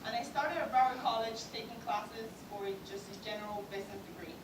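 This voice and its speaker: A young woman with a Cuban Spanish accent delivers an earnest prepared speech in a somewhat high voice. She is heard from a distance in a reverberant event hall, with a steady layer of noise behind her. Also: fairly quick. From Cuba. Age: 20s. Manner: earnest, with light self-deprecating laughs. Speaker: student award speaker. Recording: echoey hall recorded from far off, noisy.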